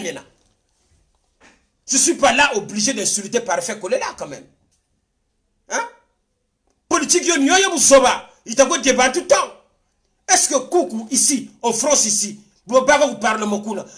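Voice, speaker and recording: A man's voice: very high-pitched, sing-song, normal speed, pauses often, a bit bright. A man with a Congolese accent giving an impassioned political monologue, insistent and repetitive, switching between languages. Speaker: political commentator.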